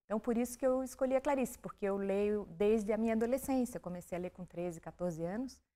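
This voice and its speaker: A woman who is an author and literature professor, answering interview questions in a calm, measured way. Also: mid-range voice.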